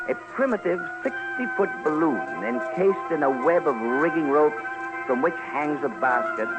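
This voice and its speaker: A man's voice: mid-range voice, poor audio. A man narrating a scripted radio documentary as commentator, formal, theatrical and emphatic. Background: music.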